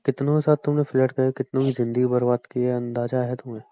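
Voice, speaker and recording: A man acts out a wounded young boyfriend in a phone-call drama. He speaks in a flat, barely varying voice, brooding and reproachful. The sound is very dry and close, like a dull lo-fi call recording. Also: mid-range voice, fast, no room sound, close to an everyday voice. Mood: bitter. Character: hurt, reproachful young man doubting his girlfriend.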